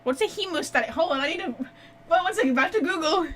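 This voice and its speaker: A woman's voice: very high-pitched, lively intonation, quick pace, fairly bright tone. A woman streaming a game, chatting casually and reacting aloud as she plays. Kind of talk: casual gameplay commentary. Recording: faint background noise.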